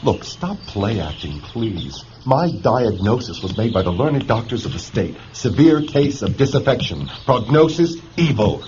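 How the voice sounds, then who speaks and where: A man's voice: fairly low pitch, very animated, measured pace, hardly any pauses, background noise. An actor playing a bitter, sardonic male dissident under psychiatric care in a radio drama. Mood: bitter, mocking. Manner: sardonic, brooding. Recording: dull vintage radio recording, music behind.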